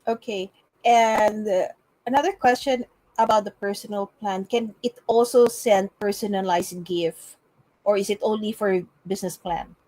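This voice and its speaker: A woman hosting a live interview, asking brisk questions and quickly acknowledging the answers. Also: medium pitch, dark tone, rough recording. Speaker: interviewer, channel host.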